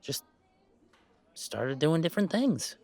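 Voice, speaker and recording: A man playing a laid-back, self-deprecating young gamer in a roleplay audio drama, chatting in a halting, playfully exasperated way. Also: fairly high pitch, big pitch swings, a little mellow, exaggerated voice. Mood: casual, reflective. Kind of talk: roleplay audio drama, casual one-sided conversation.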